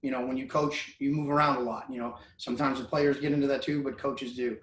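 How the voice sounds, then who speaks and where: A man's voice: medium pitch, level tone, fast, fairly bright tone, a little hiss or hum. A man in his forties from Southern California, a football coach, speaking in a thoughtful, rambling way. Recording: echoey room, far from mic.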